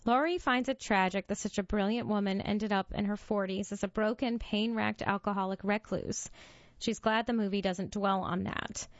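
A woman's voice: medium pitch, steady tone, normal speed, dry recording. Woman reading a magazine aloud. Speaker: reader for a reading service. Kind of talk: read-aloud magazine narration. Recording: dull, lo-fi dry studio voice.

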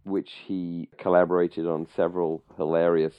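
A man's voice: low-pitched, little pitch movement, moderate pace, clean tone, dry recording, phone-quality sound. A middle-aged man with an English accent co-hosting a podcast, chatting casually and informally about a radio drama, often searching for words. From England. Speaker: podcast co-host.

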